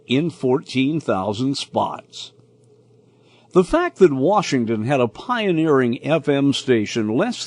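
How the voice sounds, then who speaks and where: Slow, animated older American man telling stories. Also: a little high, dry recording. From Indiana, United States. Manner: measured, reminiscing storyteller. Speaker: host and storyteller. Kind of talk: scripted personal narration.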